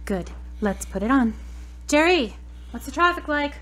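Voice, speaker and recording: A woman's voice: high voice, animated, normal speed, fairly bright tone. A woman playing a hurt, headstrong young woman in an audio drama, speaking frankly and earnestly. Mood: businesslike. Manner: frank, searching.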